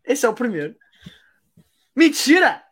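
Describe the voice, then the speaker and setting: A man's voice: very high voice, big pitch swings, measured pace, a bit bright, husky. A man co-hosting a casual football podcast, playful and full of slang, teasing his partner. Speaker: podcast co-host.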